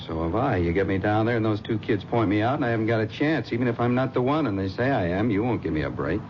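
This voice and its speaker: Casting: male actor as American small-time thief, cornered and resentful, rapid lowish voice, vintage radio crime drama. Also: expressive, lo-fi sound, lightly acted. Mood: cornered, agitated. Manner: agitated, blunt, defensive. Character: cornered male car-parts thief, defiant, resentful of betrayal. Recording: noisy, band-limited 1950s radio recording.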